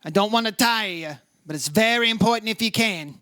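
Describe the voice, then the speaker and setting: A man acts out a brisk insurance investigator from an old radio detective play. The voice is high and bright, with clipped, businesslike delivery in both narration and questioning. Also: clear, resonant tone, no echo, studio quality. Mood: concerned, probing. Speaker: actor playing the lead investigator. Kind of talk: 1950s radio detective acting, scripted narration.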